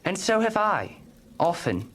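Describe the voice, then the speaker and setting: A woman playing a quiet, subdued child of a grieving family in an audiobook drama. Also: medium pitch, big pitch swings, a little slow, slightly rough. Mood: subdued, gentle.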